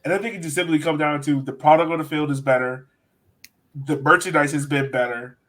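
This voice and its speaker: Male podcast host, fairly high-pitched, informal and slangy, talks straight to panel and audience. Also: steady tone, brisk, fairly bright tone. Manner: informal, slangy, addresses co-hosts and viewers directly. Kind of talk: casual sports podcast hosting.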